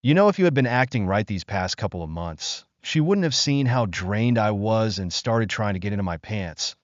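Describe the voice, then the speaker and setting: A man's voice: on the low side, lively intonation, moderate pace, no room sound, lightly acted. A man voicing an irritated, condescending boyfriend, quoted in a story he narrates. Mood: scolding. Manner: scolding and belittling. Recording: dry, slightly dull lo-fi sound.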